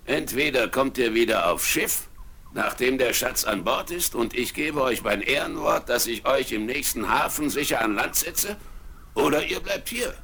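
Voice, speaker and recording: An actor playing a cunning, smooth-talking pirate captain in a 1970s radio play, recorded on old vinyl. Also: male voice, mid-range voice, sharp tone, slight background noise. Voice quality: smooth, persuasive, turning threatening.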